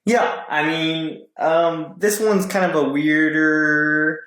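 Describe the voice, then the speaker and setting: Higher-voiced man in an echoey room. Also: steady tone, slow, fairly bright tone. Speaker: podcast guest. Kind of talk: reading forum posts aloud and casual discussion. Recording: roomy echo.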